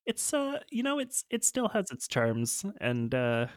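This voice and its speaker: A man's voice: mid-range voice, wildly swooping pitch, a little slow, no echo, clean studio sound. Easygoing man, hesitant and trailing. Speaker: podcast guest. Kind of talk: casual chat.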